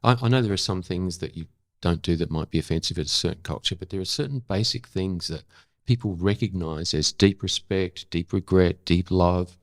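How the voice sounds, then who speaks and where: An older man, a guest in a reflective conversation, speaking haltingly and thoughtfully as he searches for words. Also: low voice, no echo, clean studio sound. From Australia. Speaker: interview guest. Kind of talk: reflective long-form conversation.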